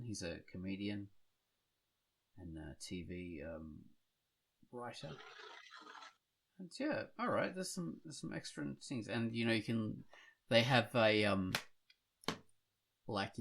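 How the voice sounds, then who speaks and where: A man's voice: fairly low pitch, vocal fry, a bit bright, husky. A man hosting a podcast in casual chat, rambling and thinking aloud as he goes. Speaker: podcast host.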